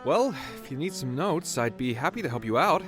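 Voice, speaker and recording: A man's voice: fairly high pitch, lively intonation, brisk, over music, lightly acted. A man playing a frustrated, sardonic gamer in his late twenties, dry and grumbling, in an audio drama. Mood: dry, friendly. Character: frustrated, sardonic 28-year-old male gamer in wheelchair.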